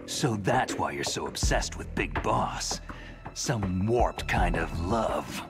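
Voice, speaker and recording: Game hero: terse, suspicious soldier, low-set gravelly male voice, under loud music. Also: expressive, slow, exaggerated voice. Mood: grim suspicion. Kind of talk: dramatic video game cutscene acting.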